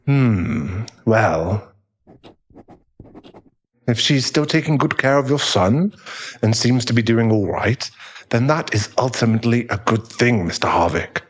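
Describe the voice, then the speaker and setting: Man acting a slow, measured therapist. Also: medium pitch, expressive. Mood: tactful, probing. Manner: measured, tactful, formal. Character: calm, measured male therapist, tactful and professional. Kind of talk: ASMR audio roleplay.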